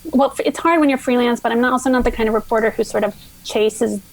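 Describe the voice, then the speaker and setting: A middle-aged woman from Virginia talks as a guest author in a casual interview. Her voice sits a little high. She thinks aloud, often restarting her sentences. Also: fast, low-quality recording. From Virginia, USA. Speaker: guest author, science writer.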